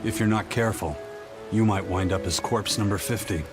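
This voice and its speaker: Casting: actor as weary game pirate captain, low and dry, aloof, music clearly behind him. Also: male voice, a little fast, close to an everyday voice. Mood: grim, dry. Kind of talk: English video game dub acting.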